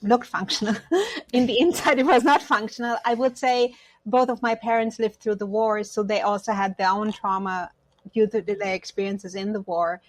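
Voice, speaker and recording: A woman's voice: medium pitch, steady tone, normal speed, no echo, poor audio. A woman from Germany, not an English speaker, speaking reflectively about personal matters as an interview guest. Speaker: podcast guest, counselor.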